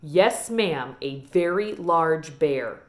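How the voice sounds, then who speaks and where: Storybook role: woman as elderly small-town lady librarian, genteel, wistful, folksy, very animated delivery. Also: low voice, pitched below the performer's own voice, heavily acted. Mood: suspenseful. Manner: folksy, emphatic, drawn-out storytelling.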